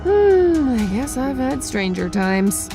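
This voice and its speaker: Sardonic runaway, jaded, unhurried, swinging intonation, radio drama. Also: female voice, high-pitched, sing-song, over music, full character voice. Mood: incredulous, dry. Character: jaded, sardonic woman fugitive, skeptical and blunt.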